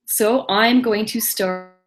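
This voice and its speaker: A woman in her late thirties hosting a casual online webinar alone, chatty and self-deprecating, with quick laughs and apologies. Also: fairly high pitch. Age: 30s. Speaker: webinar host. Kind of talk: casual solo webinar presentation.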